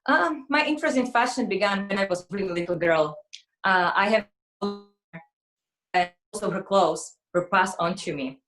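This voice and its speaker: A woman's voice: medium pitch, normal speed, fairly bright tone, poor audio. A woman in her forties from Slovakia with a Slovak accent, speaking conversationally as an interview guest. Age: 40s. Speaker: interview guest, fashion model.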